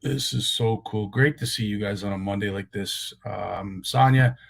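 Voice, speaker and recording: A man hosting a casual live stream, his voice raspy and strained, greeting viewers one by one. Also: lowish voice, moderate pace. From Canada. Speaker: live show host. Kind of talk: casual livestream chat.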